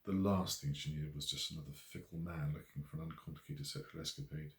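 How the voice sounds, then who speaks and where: Deep-voiced man narrating in an echoey room. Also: sing-song, quick pace, creaky. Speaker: author reading own novel. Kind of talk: scripted audiobook narration. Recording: roomy, echoey voice recording.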